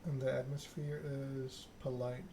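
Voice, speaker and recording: A man streaming a text game, reading narration aloud in a soft-spoken ASMR style with casual chat. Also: medium pitch, little pitch movement, normal speed. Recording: clear background noise.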